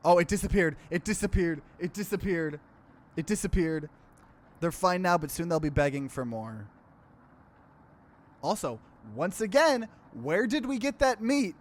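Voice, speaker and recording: A man's voice: high-pitched, lively intonation, measured pace. A man hosting a let's play, casually commenting and reading game text aloud with expression.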